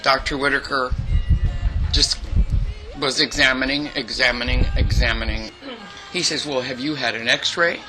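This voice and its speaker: A woman in her eighties with a loud voice, telling stories from her life in a chatty way and acting out what people said to her. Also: very deep voice, normal speed, long pauses, over music, poor audio. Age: 80s. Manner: chatty, lively, quotes people in dialogue. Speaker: interviewee. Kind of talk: reminiscing storytelling.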